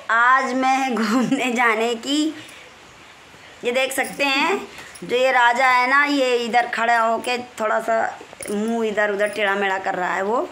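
A woman in her forties with a Kanpur Hindi accent, hoarse and husky, chatting in a rambling way into a phone held close in a small room at home, clearing her throat often. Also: a little high, a bit bright, slight background noise. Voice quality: husky, strained voice. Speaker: vlogger. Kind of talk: casual home vlog. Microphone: phone camera held close, selfie framing.

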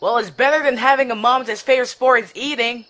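Man as a high-pitched cocky anime boy hero. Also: steady tone, quick pace. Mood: dismissive. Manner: smug, brash. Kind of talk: fan parody cartoon dub.

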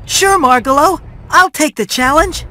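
A woman's voice: high-pitched, a little slow, pushed and bright. A woman voicing a plucky, polite young boy mouse in a video game, chipper and earnest. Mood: cheerful. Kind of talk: video game cutscene voice acting.

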